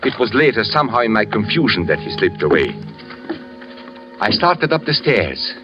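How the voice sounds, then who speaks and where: A muffled vintage radio recording with loud music under it carries a troubled storyteller. His voice is low and swings widely with dread, halting and stammering in dramatic suspense style. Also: very animated. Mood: haunted, horrified. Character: anxious, troubled man with a cane narrating a horror. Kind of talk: 1940s radio suspense acting.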